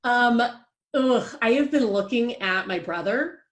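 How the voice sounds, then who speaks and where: Woman playing a dramatic playwright, gushing, rambling, fairly high voice. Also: lively intonation, a little slow. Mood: flustered. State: panicked shouting near tears. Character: anxious, theatrical female playwright-director.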